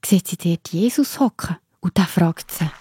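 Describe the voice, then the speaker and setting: A woman's voice: fairly low pitch, animated, normal speed, dark tone, breathy edge. A woman with a Swiss German accent narrating a scripted story for children, explaining simply and addressing the listener directly.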